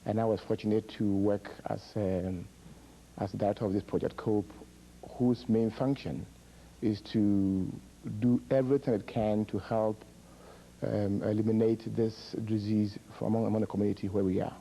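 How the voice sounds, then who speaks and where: A middle-aged man from Ghana, directing a public health project, explaining a disease's history in measured, halting answers with repeated words and fillers. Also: on the low side, level tone, vocal fry, warm tone, a little hiss or hum, rough recording.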